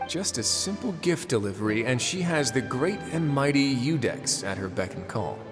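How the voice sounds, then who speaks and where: A laid-back male prison warden from a video game, voiced by a man, speaking in a mid-range voice with relaxed, wry banter. A long reverb trails behind his lines. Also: mid-range voice, normal speed, music in the background, lightly acted.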